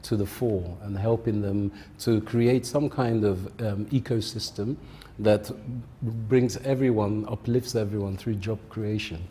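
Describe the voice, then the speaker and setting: A man's voice: fairly low pitch, fairly even intonation, measured pace, warm tone, slight background noise. A man from Nigeria with a Nigerian accent, a guest on a live TV interview who speaks in a polite, upbeat, fluent way. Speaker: TV guest, content creator.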